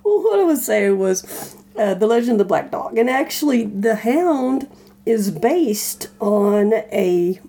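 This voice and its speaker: Mellow, slightly husky woman explaining casually. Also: mid-range voice. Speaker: podcast co-host. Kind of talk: casual explanatory podcast chat.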